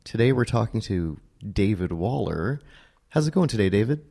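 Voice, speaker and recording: A male podcast host with a very low voice and a dark, soft tone. He asks his questions in a laid-back, meandering way, circling around before he lands on the point. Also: animated, normal speed, creaky. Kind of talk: casual interview.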